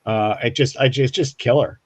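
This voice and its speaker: A man's voice: mid-range voice, moderate pace, darkish tone. A man co-hosting a casual interview chat, recorded with a dull, lo-fi sound. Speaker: show co-host.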